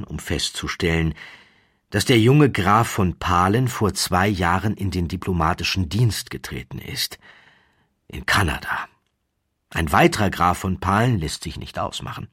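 A man with a very deep voice narrates a literary tale. His tone is husky and rough-edged, recorded dry with no room around it. He delivers the scripted prose in a measured, slightly mannered way, with wry emphasis and deliberate pauses. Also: expressive, a bit bright, no echo. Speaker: audiobook narrator.

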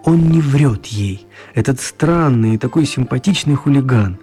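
An actor narrating a children's tale as the storyteller of a radio play. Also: male voice, medium pitch, animated, normal speed, dark tone, faint music behind, natural voice. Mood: storytelling.

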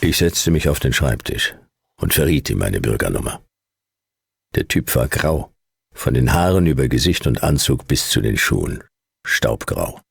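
Very deep, deadpan private detective. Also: male voice, normal speed, gravelly, husky, no echo, exaggerated voice. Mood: dry narration. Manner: dry, deadpan, clipped. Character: laconic, world-weary private detective narrating his cases. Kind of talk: noir radio play narration and dialogue.

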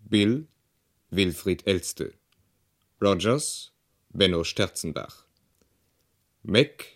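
Very slow, deep-voiced male radio announcer. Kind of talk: formal scripted credit reading, 1960s German radio.

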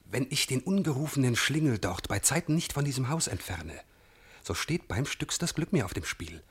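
This is a haughty god spoiling for a fight in a classical radio drama. The voice is husky and rough, with very lively swings of pitch. He declaims his verse as a string of menacing threats. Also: moderate pace. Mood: scornful, plotting.

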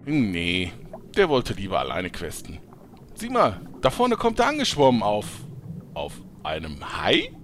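A man's voice: on the low side, wildly swooping pitch, unhurried, slight background noise. A man playing a cheeky freeloading gamer, theatrical in manner, in a comedic audio drama. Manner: theatrical, sheepish then indignant. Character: cheeky freeloading male player in online game parody.

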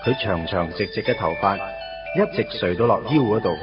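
A man's voice: lowish voice, expressive, fairly quick, far from the mic, lightly acted. An actor playing a wistful man in his late twenties pining for his first love in a radio drama, speaking Cantonese with a Hong Kong accent in a nostalgic, storytelling way. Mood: nostalgic, wry. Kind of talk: radio drama acting, first-person narration. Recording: dull lo-fi radio recording. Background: music.

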